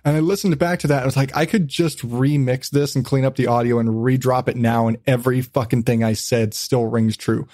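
A man's voice: mid-range voice, steady tone, brisk, hardly any pauses, a little mellow, dry recording, clean studio sound. A man hosting a podcast in casual chat, blunt, self-deprecating and swearing freely. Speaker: podcast host.

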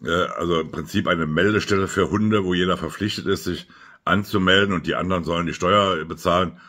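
Very deep-voiced man. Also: fairly even intonation, brisk, a bit bright. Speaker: politician hosting a weekly video update. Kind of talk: informal political commentary to camera. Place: small room at home by a window, indoors.

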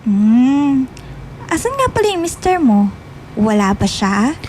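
A woman acting an obsessive, needy young woman in a Filipino radio drama, pleading and halting in manner. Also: high-pitched, very animated, a little mellow, slight background noise, heavily acted. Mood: feigned sweetness. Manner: pleading, halting when evasive.

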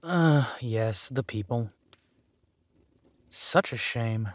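Guy doing a dark villain husband for roleplay audio, takes his time, soothing but menacing, super dry close sound. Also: medium pitch, no echo. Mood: gloating, menacing. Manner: slow, soothing, darkly theatrical. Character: possessive, menacing villain husband, tender to spouse. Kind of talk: scripted roleplay audio drama.

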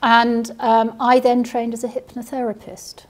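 A woman's voice: on the high side, monotone, unhurried. A middle-aged woman with a Kenyan accent, a barrister telling her story on a panel in a seminar room, wry and self-deprecating. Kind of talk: panel conversation.

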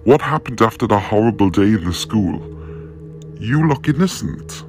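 An actor playing a concerned, sympathetic prison jailer in an audio drama, with an official manner. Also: male voice, on the low side, expressive, normal speed, a little mellow, slight background noise. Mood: reassuring.

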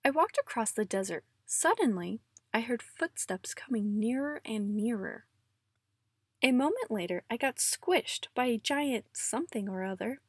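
A woman's voice: a little high, animated, measured pace, slightly rough, no echo, clean studio sound, full character voice. A woman voicing an unflappable old grandfather telling tall tales in a storybook read-aloud. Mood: matter-of-fact.

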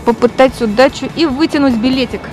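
A woman's voice: sits high, a little fast, low-quality recording. A woman reporting on a city street with a handheld mic, quizzing passers-by in an upbeat way. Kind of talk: street vox-pop interviewing. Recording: background music under street audio.

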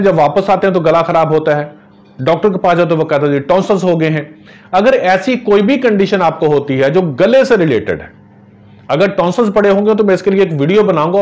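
Earnest herbalist man. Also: sits high. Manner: earnest, gesturing, religious phrases. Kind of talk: home remedy advice to camera. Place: small office with framed photos on walls.

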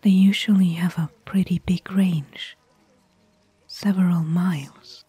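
A woman playing a caring, worried fantasy expedition companion in a soft, breathy, intimate ASMR whisper. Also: fairly low pitch, steady tone, no room sound, heavily acted. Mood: hushed recounting. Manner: intimate, gentle, slow and hushed. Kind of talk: soft-spoken ASMR roleplay.

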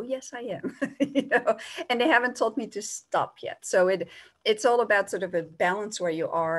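A woman in her thirties, a researcher on a webinar panel over a video call, frank and animated. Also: on the low side. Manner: frank and animated, laughing often. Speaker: psychologist panelist. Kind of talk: panel discussion answer. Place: small room indoors.

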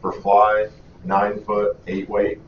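A man's voice: fairly low pitch, monotone, slow pace, a little hiss or hum. A man, a charter captain, giving a brief, matter-of-fact panel answer. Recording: echoey room, far from mic, dull.

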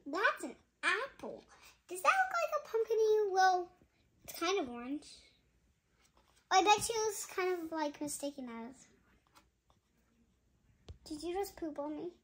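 A woman's voice: very high voice, very animated, unhurried, long pauses, a bit bright. A young girl reads a picture book aloud at bedtime in a bedroom, giggling and chatting between lines, filmed on a nearby phone. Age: child. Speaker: child reading a bedtime story. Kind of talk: reading a picture book aloud, slow and sing-song. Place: bedroom at home, indoors.

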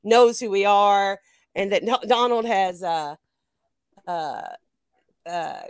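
A woman's voice: mid-range voice, slow pace, clear, resonant tone, dry recording. A woman co-hosting a casual online stream, rambling emphatically and giggly as she talks with live chat. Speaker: livestream co-host.

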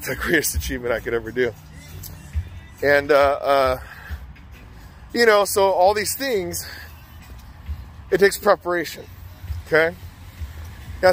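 A man's voice: fairly high pitch, a bit bright. A man talking to the camera in a rambling, casual vlog, often checking in with "okay?" and "you know". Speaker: vlogger. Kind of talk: casual walking vlog monologue.

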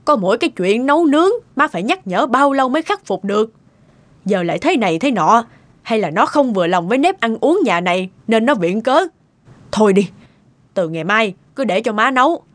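A woman playing a stern, sharp-tongued older mother-in-law in a cartoon, blunt and scolding. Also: on the high side, expressive, fairly quick, no room sound, lightly acted. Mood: indignant. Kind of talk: cartoon dialogue.

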